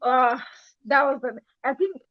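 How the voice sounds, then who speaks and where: High-voiced chatty woman co-host. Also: measured pace, dry recording, highs cut off. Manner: chatty, with frequent fillers. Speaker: co-host, business connector. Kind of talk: casual talk show discussion.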